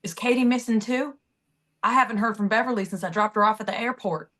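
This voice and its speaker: A woman's voice: on the high side, quick pace, a bit bright, natural voice. A woman playing a worried, insistent mother of a grown daughter in a screenplay table read, earnest and anxious.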